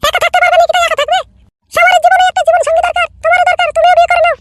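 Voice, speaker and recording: Man as a squeaky, extremely high cartoon girl. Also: flat delivery, rapid-fire, fairly bright tone, no room sound. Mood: coaxing. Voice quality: squeaky, artificially thin.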